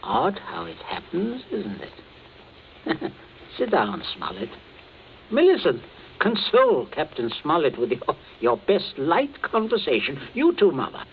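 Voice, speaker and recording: Middle-aged patriarch, polite schemer, stammering and coolly threatening; vintage radio play, narrow muffled sound with faint noise. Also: male voice, on the high side, wildly swooping pitch, unhurried, exaggerated voice. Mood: falsely hearty. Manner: stammering, forced chuckles, clipped politeness. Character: genteel scheming family patriarch, nervously jovial, coolly menacing. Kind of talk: old-time radio drama acting.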